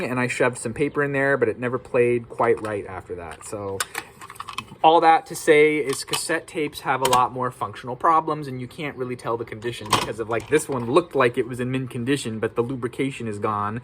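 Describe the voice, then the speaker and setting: A man's voice: mid-range voice, a bit bright. A man with an American accent talking casually as a video host, plain-spoken and opinionated. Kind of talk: casual informal video talk about collecting. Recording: clearly noisy background ambience.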